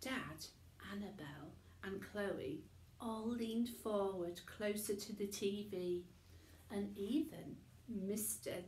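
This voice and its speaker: A woman reading a children's story aloud in an expressive bedtime-story narration. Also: medium pitch, slow, slight background noise. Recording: echoey room.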